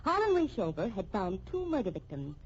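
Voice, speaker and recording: A woman's voice: mid-range voice, big pitch swings, a little fast, slightly rough. An actress playing a shrewd, needling amateur woman sleuth in a 1950s radio mystery, arch and pointed. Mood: confident, accusing. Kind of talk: 1950s radio drama acting. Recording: dull old radio recording.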